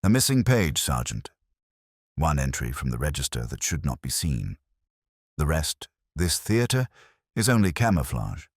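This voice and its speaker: Guy doing a calm shrewd detective inspector from a mystery audiobook, really low voice, formal and mild. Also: no room sound, studio quality, full character voice. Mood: calm, grave. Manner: measured, formal, mild-toned. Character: calm, shrewd male police inspector, quietly knowing.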